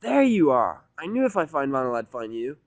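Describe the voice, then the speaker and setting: A man voicing a brash, boastful, energetic female cartoon pegasus pony in an audiodrama. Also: medium pitch, a little fast, no room sound, highs cut off, pitched above the performer's own voice. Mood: boastful, loud. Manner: boastful, exuberant, self-important.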